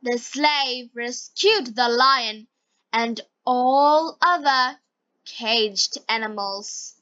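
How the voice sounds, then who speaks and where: A woman with a Bengali accent reading like an English teacher for learners, in short, deliberate, carefully enunciated phrases. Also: fairly high pitch, sharp tone, dry recording, muffled recording. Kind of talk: slow scripted story reading and vocabulary lesson for learners.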